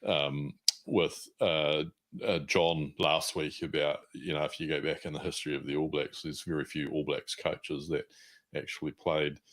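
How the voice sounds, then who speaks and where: A man's voice: a bass voice, little pitch movement, normal speed, a bit bright. A man from New Zealand with a New Zealand accent, hosting a podcast interview in a folksy, rambling way, full of uhs. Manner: folksy, colloquial, uh-filled rambling. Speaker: podcast host. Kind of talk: casual interview talk.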